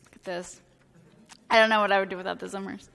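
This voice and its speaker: A woman in her thirties, a priest, giving a casual, joking sermon with a hoarse, fading voice, chatty and self-deprecating with many filler words. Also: medium pitch, flat delivery, fast, fairly bright tone. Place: church sanctuary. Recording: long church reverb.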